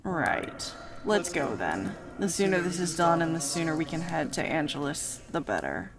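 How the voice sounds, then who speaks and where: Woman acting creaky, irritable bat deity. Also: sits low, very animated, normal speed, full character voice. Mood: weary scorn. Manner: curt, scornful, sighing. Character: irritable, sneering, short-tempered bat deity. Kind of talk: dramatic visual novel voice acting.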